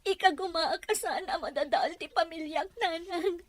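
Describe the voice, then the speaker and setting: A woman plays a distraught young wife with an Ilocano accent. Her voice is extremely high and breaks with crying as she pleads and clings, in the manner of a melodramatic audio drama. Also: moderate pace. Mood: tearful pleading. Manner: pleading, tearful.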